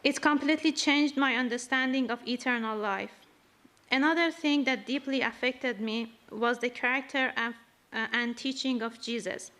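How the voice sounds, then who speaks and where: A woman not speaking her first language gives a slow, earnest testimony at church, reading carefully from prepared notes. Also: a little high, bright, forceful tone. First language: not English. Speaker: church member giving a testimony.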